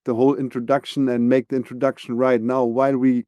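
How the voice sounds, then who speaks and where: Male podcast host; resonant, mellow voice, dry close mic, measured advising tone. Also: medium pitch, level tone, smooth, no echo, lo-fi sound. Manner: earnest, advising, measured with fillers. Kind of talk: casual interview conversation.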